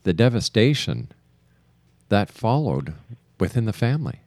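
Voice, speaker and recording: A man hosting a radio talk show, speaking earnestly and measured, rushing through announcements before breaks. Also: fairly low pitch, big pitch swings, a little mellow, no room sound. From Canada. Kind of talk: radio interview.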